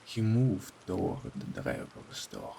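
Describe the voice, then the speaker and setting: A man narrating a scripted horror story in a solemn, dramatic way with deliberate phrasing. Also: deep voice, wildly swooping pitch, gravelly, mellow, slight background noise. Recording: faint rain behind voice.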